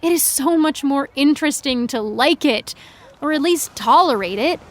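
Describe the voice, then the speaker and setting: A woman playing a frustrated, opinionated high school senior fangirl in a naturalistic audio drama, earnest and articulate. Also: animated, normal speed. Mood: indignant, passionate. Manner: earnest, articulate, indignant teen speech.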